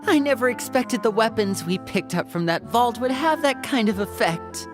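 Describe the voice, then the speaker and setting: A woman voicing an easygoing young adventurer in a video game, casual and gently teasing. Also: a little high, expressive, normal speed, over music, close to an everyday voice. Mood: amused. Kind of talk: scripted video game dialogue.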